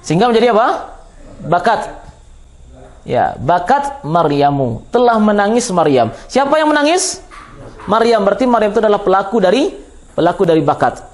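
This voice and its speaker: High-pitched Minangkabau Indonesian male teacher. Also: sing-song, normal speed. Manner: didactic, repeats and quizzes listeners. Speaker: Islamic teacher of Arabic grammar. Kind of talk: classroom lesson, explaining with questions to students.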